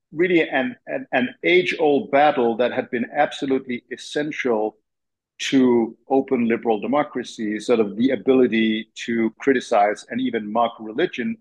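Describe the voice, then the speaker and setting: A man's voice: medium pitch, muffled recording. A man from Denmark, a guest on an interview show who talks in an earnest, articulate way with frequent fillers. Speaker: interview guest, free speech lawyer. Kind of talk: interview conversation.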